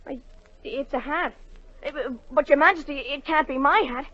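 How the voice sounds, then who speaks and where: A man's voice: very high-pitched, normal speed, sharp tone. A young male actor playing a polite, bewildered boy, stammering and apologetic, in 1940s radio drama style. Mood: flustered. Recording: dull 1940 radio recording with music.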